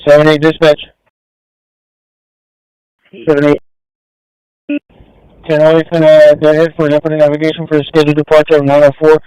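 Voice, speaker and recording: A man with a fairly high, very clear voice makes terse dispatch calls. His delivery is clipped and procedural, in a dry sound with no room echo. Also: monotone, brisk, pauses often, darkish tone. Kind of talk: terse radio dispatch traffic.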